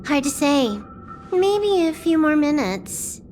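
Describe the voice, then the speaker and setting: A young female accomplice in a video game. Her high voice moves up and down freely at an unhurried pace, cool and casual in attitude. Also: big pitch swings, a little mellow, faint music behind, exaggerated voice. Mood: casual, curious.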